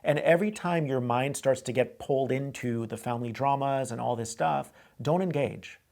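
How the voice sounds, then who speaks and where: A man's voice: medium pitch, moderate pace, vocal fry, a little mellow. A man hosting a call-in advice show, coaching a caller in a direct way and often repeating himself. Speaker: host giving advice.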